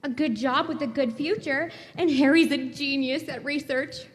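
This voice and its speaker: Woman playing a young bride, pert, confident, high-pitched, radio play, reverberant hall. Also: steady tone, moderate pace, natural voice. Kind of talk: live radio play acting. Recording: echoey hall, long reverb.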